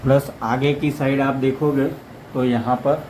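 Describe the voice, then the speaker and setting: A man talks through a gadget demo in a flat, even tone, rambling from point to point. His voice is mid-pitched and sits over faint background ambience. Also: unhurried, a little hiss or hum, poor audio. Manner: rambling, repeats "plus" between points. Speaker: motorcycle vlogger. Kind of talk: casual product demo.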